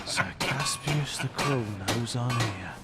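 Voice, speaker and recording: A man voicing a wary, gruff sailor in a video game, with rough colloquial talk. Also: mid-range voice, slow pace, loud music behind the voice, lightly acted. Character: gruff, wary male sailor, former cabin boy. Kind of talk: video game character dialogue.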